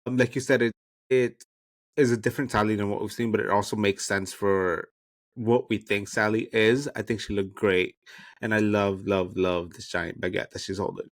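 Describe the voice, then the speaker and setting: A man's voice: lowish voice, steady tone, normal speed. A man co-hosting a podcast, giving casual reactions and commentary in sassy slang with some swearing. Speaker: podcast co-host.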